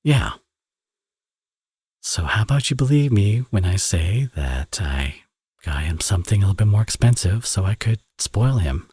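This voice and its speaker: A man acts out a teasing villain turned doting father for an ASMR romance roleplay. His voice is low and husky, recorded close and dry. He sounds smug and playful, talking as if to an old flame. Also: big pitch swings, normal speed, darkish tone, no echo, full character voice. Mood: earnest, persuasive. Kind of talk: ASMR audio roleplay, romantic drama acting.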